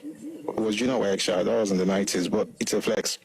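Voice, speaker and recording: A man's voice: lowish voice, very animated, brisk. A middle-aged man with a Nigerian Yoruba accent giving an opinionated commentary monologue, emphatic and full of asides.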